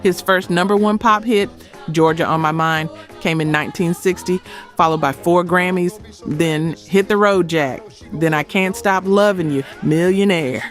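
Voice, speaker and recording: A man's voice: high voice, moderate pace. Man narrating a documentary over music. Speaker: narrator of a music history video. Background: background music.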